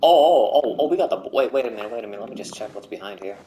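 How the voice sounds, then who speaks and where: A man's voice: on the low side, lively intonation, racing, dark tone, soft background music, low-quality recording. A man doing casual gameplay commentary with joking asides, bantering and repeating himself. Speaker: game streamer.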